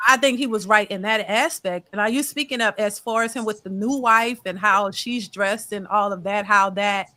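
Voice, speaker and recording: A woman on a casual panel, hesitating and hedging as she thinks through her answer out loud. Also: mid-range voice, moderate pace. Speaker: channel host and panelist.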